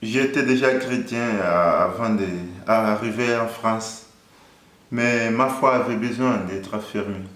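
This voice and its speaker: A man in his thirties from Angola, a Portuguese speaker, calmly and earnestly sharing his faith story in an interview. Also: on the low side, a little slow, roomy sound. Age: 30s. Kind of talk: personal faith testimony.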